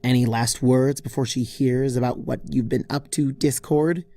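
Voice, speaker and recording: A man's voice: mid-range voice, steady tone, normal speed, dark tone, dry recording, lightly acted. A man voicing an indignant, bossy young female pony in a storybook reading, huffy and sarcastic. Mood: triumphant.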